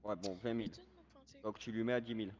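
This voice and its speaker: Fast-talking bossy man, noisy voice chat. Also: lowish voice, fairly even intonation, darkish tone, muffled recording. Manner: bossy, formal orders mixed with threats. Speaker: player acting as a police commander. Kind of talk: improvised online role-play chat. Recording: gaming voice chat with background noise.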